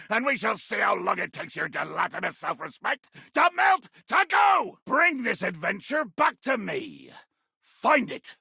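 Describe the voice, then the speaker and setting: Raspy, wildly theatrical pirate berserker. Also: male voice, high-pitched, no echo, studio quality, full character voice. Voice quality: gravelly, raspy. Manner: bombastic, florid archaic pirate diction, erupting into shouts. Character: ranting, grandiose, bloodthirsty male pirate berserker, comically menacing. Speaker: voice actor. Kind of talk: theatrical video game character acting.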